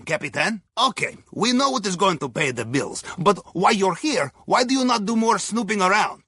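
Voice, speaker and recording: A man's voice: high-pitched, pushed and bright, husky, dry recording, studio quality, exaggerated voice. An actor playing a shrewd, genial Russian submarine crewman in a video game, guiding missions in clipped, dryly conspiratorial phrases with a Russian accent. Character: shrewd, genial male Russian submarine captain's aide and heist planner. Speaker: mission guide over comms.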